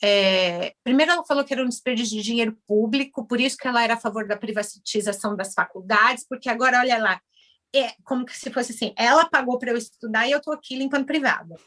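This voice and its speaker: A Brazilian woman in her forties talks through her story in a relaxed interview, her voice sitting a touch high for a woman. She tells it with animation and slips into little voices when she acts out what other people said. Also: fast. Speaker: guest, Brazilian orthodontist now working as a house cleaner.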